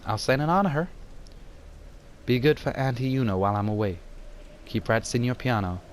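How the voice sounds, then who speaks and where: Give me a warm, tender mother, voiced by a man. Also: mid-range voice, expressive, fairly quick, slight background noise, natural voice.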